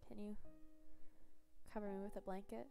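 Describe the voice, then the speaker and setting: A woman voicing a weak, dying man who talks in a quiet, faltering, pleading way, as if too cold and lightheaded to go on. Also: mid-range voice, flat delivery, normal speed, vocal fry, over music, close to an everyday voice.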